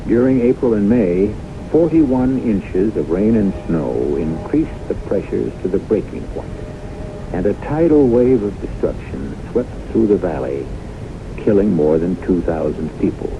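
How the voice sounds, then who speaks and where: An actor as a grave storyteller-host, narrating a 1970s radio drama in a measured, portentous manner. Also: male voice, sing-song. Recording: noisy, rumbling, muffled 1970s radio recording.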